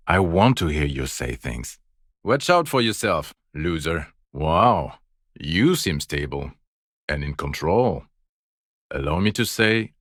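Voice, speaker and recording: An actor playing a world-weary, fed-up police detective in a video game, profane and sneering, with deadpan sarcasm. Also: male voice, low-pitched, no room sound, studio quality, full character voice. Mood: mocking sarcasm.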